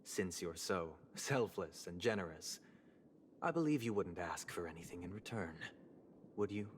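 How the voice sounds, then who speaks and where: An actor playing a composed, menacing, high-ranking gentleman in a video game, speaking in a measured, formal, condescending way. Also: lively intonation, slight background noise. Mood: coldly polite. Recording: game dialogue with music underneath.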